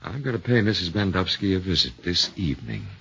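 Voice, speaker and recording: An earnest, slightly hesitant lawyer. His low, husky voice rises and falls with lively intonation, heard through a dull, lo-fi old radio broadcast. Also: brisk, faint music behind, exaggerated voice. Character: earnest male defense lawyer. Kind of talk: 1940s radio acting.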